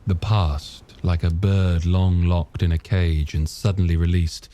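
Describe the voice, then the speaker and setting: A man's voice: low voice, level tone, unhurried, mellow. A man reading a story aloud as scripted audiobook narration.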